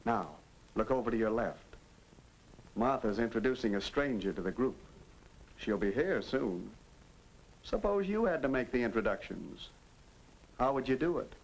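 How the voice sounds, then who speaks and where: A man's voice: medium pitch, animated, normal speed, vocal fry, pauses often. An actor playing a fatherly narrator who coaches a teenager in manners in a 1950s educational film. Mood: friendly coaching. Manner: coaching, instructive. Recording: noisy old 1950s film soundtrack.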